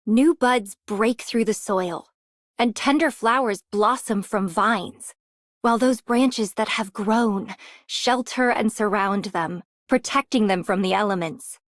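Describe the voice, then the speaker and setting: An actress playing a gentle, nurturing woman who protects children in a video game. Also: medium pitch, takes it slowly, no room sound, clean studio sound, close to an everyday voice. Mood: tender.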